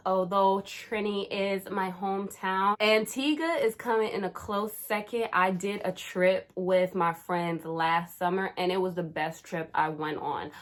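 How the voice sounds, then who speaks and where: Chatty young woman vlogger. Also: on the low side. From Caribbean, raised in New Jersey. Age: 20s. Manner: chatty, slangy, playful. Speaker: YouTuber. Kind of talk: casual vlog chat.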